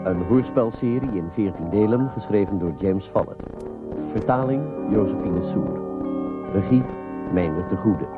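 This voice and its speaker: A male announcer with a low voice reads scripted radio drama credits. Loud music plays behind him, and the recording has a narrow, phone-like band. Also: measured pace. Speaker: series announcer.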